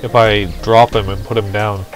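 A man's voice: lowish voice, little pitch movement, normal speed, rough recording. A man giving casual video game commentary, thinking aloud in a musing, self-deprecating way. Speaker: gamer, let's play host. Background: video game music.

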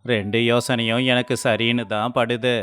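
Man playing an earnest farmer husband, smooth clear voice. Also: medium pitch, level tone, moderate pace, a bit bright, close to an everyday voice. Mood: worried, pondering. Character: earnest village farmer husband, worried and indecisive. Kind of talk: acted dialogue in a Tamil moral story cartoon.

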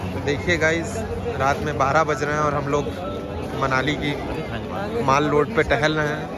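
A man's voice: a little high, flat delivery, normal speed, over a noisy crowd, very noisy. A young man from Lucknow, casually narrating a walking tour of a busy street at night, talking to viewers like a guide. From Lucknow, India. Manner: chatty, addresses viewers as guys, guide-like pointing out sights. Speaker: travel vlogger. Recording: loud street music over voice. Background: loud music from the street.

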